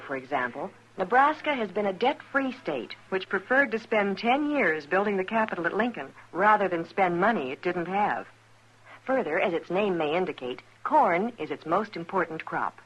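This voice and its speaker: An American woman announcer with a lowish voice reads a scripted piece in mid-century radio style. The old recording sounds dull and muffled.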